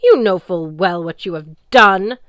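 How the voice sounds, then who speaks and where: A woman voicing an imperious, scornful ancient sea goddess with a deep, booming voice and a haughty, commanding manner. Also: animated, unhurried, fairly bright tone, no room sound.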